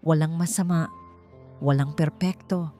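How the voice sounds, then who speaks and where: A woman with a Filipino accent voicing a grateful, gentle young wife and mother in a romance story, soft-spoken and heartfelt. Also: low-pitched, steady tone, measured pace, soft background music. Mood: serene.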